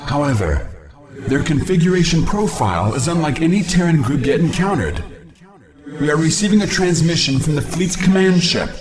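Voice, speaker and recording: An actor playing a proud, dutiful alien military officer in a video game, formal and crisp in his reporting. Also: on the high side, expressive, normal speed, a crowd in the background, lightly acted. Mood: urgent reporting. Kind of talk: scripted video game voice acting.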